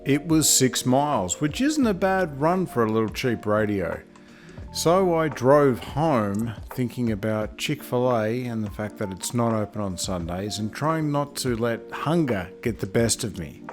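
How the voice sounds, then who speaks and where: A man's voice: mid-range voice, animated, a little slow, soft background music. A man giving a casual hands-on product review in a plain-spoken, matter-of-fact way.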